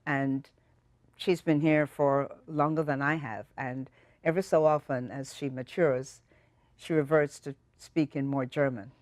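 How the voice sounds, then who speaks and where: A woman's voice: extremely low pitch, fairly even intonation, a little slow, a little mellow, no echo. A middle-aged Jamaican woman from Jamaica, a guest talking in a thoughtful, reflective way on a talk show. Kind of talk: casual chat.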